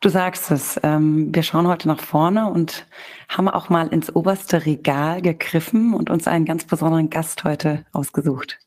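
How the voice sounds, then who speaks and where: Low-voiced slightly hoarse woman co-host. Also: steady tone, normal speed, a little mellow. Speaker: podcast co-host. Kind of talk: casual podcast talk.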